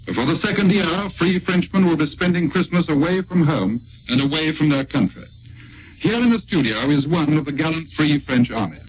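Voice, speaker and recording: British wartime radio presenter, muffled old recording. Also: male voice, fairly high pitch, moderate pace, a little hiss or hum. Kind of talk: 1940s wartime radio broadcast, scripted narration. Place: radio studio.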